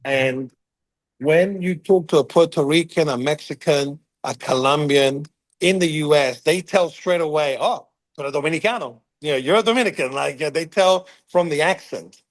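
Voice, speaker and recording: A man with a somewhat high voice answers interview questions as a company head. He talks in quick bursts, with lots of fillers and restarts. The sound is dry and close, with no room echo. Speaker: CEO of a contact center firm, guest. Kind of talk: business interview, unscripted.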